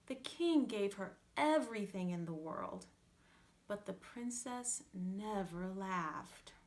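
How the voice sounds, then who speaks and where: Slow, expressive woman storyteller. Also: mid-range voice, a little hiss or hum. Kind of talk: scripted fairytale narration for children.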